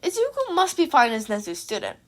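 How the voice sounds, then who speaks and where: A woman with a high-pitched voice narrates a story. She reads from a script as an amateur audiobook narrator. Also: wildly swooping pitch, fairly quick.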